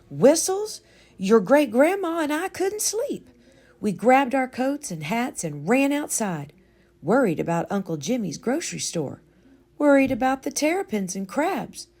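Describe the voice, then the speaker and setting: A woman voicing an elderly great-great-aunt who reminisces fondly and dramatically in a storybook, savoring her pauses. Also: sits high, darkish tone, voice pushed up, full character voice.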